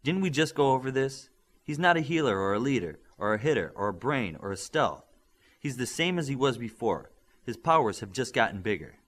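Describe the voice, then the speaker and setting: A man voicing a commanding adult male superhero team leader who talks firmly, briskly and with authority. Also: mid-range voice, sing-song, fairly bright tone.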